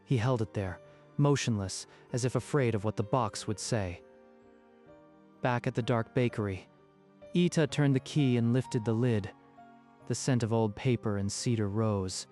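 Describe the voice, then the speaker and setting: A man's voice: mid-range voice, a little slow, a little mellow. A man narrating a scripted dramatic story in a literary storytelling style. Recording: music behind the voice.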